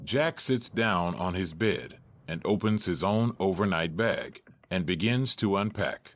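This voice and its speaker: A man reading scripted stage directions as narrator, measured and matter-of-fact. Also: low voice, lively intonation.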